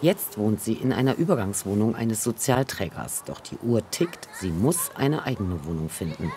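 A man reading a scripted news report as voice-over, in a calm, even manner. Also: on the low side, moderate pace, slight background noise.

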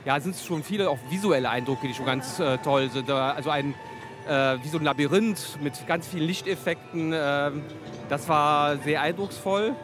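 A man's voice: on the high side, normal speed. Hesitant man, teacher, music behind. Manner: hesitant, many fillers. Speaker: special-school teacher. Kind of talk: interview answers.

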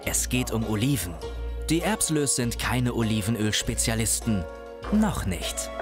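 A man's voice: mid-range voice, sing-song, measured pace. Man narrating a reality documentary. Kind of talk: scripted voice-over narration. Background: music.

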